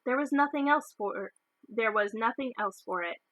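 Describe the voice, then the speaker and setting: A woman narrates a children's novel. Her voice is bright and fairly high, and the recording is dry and close, with little room sound. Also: moderate pace, no echo. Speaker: storybook reader. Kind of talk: children's novel read aloud.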